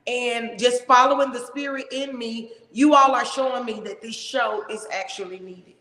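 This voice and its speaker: A woman hosting a casual talk show, with a big, loud voice and an emphatic, expressive way of talking. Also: on the high side, moderate pace. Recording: echoey room with long reverb.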